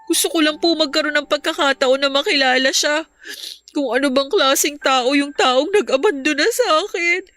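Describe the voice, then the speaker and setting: A woman's voice: sits high, normal speed, fairly bright tone, no room sound. A woman playing a polite, apologetic, earnest young adult child in a Filipino audio drama. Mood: apologetic, tearful. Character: grateful, apologetic young adult child reuniting with adoptive mother. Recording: music under the voice.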